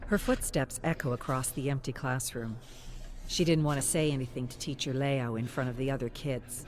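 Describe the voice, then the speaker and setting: A narrator reading a scripted story in the present tense, in a measured, dramatic storytelling manner. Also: medium pitch.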